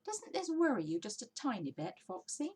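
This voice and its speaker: A woman voicing a gentle, respectable male badger in a children's storybook, earnest and hesitant with a fond manner. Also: a little high, huge pitch swings, normal speed, breathy edge, pitched above the performer's own voice, exaggerated voice. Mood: worried, earnest. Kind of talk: children's storybook character reading.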